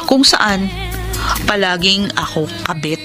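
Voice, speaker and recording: An earnest young Filipina bar hostess in a radio drama. Her voice sits at a medium pitch as she confides her love story, with music clearly playing underneath. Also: lively intonation, slow pace. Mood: confessional, sad. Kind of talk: Filipino radio drama acting and letter narration.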